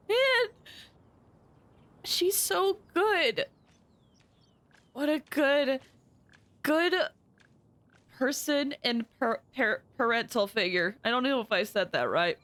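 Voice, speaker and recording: A woman streamer chatting casually over a game, with emotional, expressive, gushing reactions. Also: high-pitched, no room sound.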